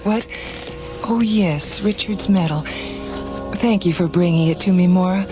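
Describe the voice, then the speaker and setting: An actress playing a tired, polite young woman in an audio drama, soft and hesitant in manner. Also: fairly low pitch, measured pace, over music, close to an everyday voice. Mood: weary, apologetic.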